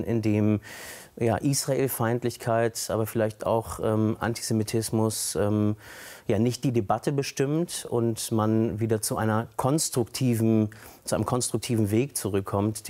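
A man speaking as a guest in a recorded TV interview, thoughtful and hesitant, with frequent fillers and pauses. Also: on the low side, mellow. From Germany.

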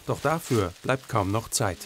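A man's voice: fairly low pitch, expressive, measured pace, a bit bright, a little hiss or hum. A man reading scripted narration for a television news report. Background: background music.

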